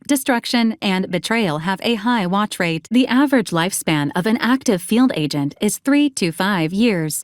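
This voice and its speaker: A woman voicing a polite, coolly logical robot companion in a video game, speaking in formal, clipped, deadpan announcements. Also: medium pitch, no room sound, studio quality, close to an everyday voice. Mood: deadpan, dryly morbid. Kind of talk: scripted video game voice acting.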